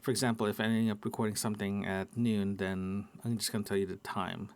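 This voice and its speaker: A middle-aged man with a gravelly voice recording a casual solo audio log, haltingly thinking aloud and repeating words. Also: fairly low pitch, fairly even intonation. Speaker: podcast host. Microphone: small handheld recorder.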